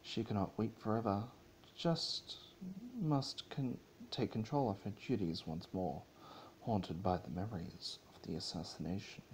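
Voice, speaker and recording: A man's voice: lowish voice, unhurried, a little mellow, slight background noise, low-quality recording. A man giving an amateur dramatic reading of a story, stumbling over and repeating words as he goes. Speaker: amateur reader of fan fiction, channel host.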